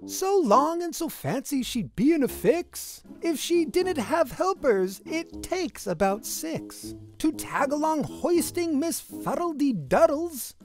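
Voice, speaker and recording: High-voiced, animated woman reading a storybook. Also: takes it slowly, few pauses. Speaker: storybook reader. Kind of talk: expressive read-aloud of a rhyming children's picture book. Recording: music behind the voice. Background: music.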